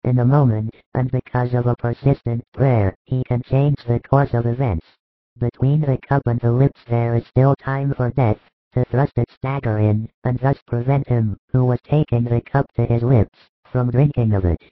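A man voicing a compassionate rabbi in a Bible story, speaking in a measured, earnest and reassuring way with gentle authority. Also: medium pitch, steady tone, no room sound, phone-quality sound, natural voice. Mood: firm, reassuring.

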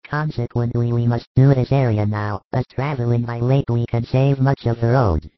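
Man voicing a measured, commanding religious master, dry sound. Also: medium pitch, fairly even intonation, no room sound, dull audio, natural voice. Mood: firm, patient. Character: calm authoritative male religious master instructing followers.

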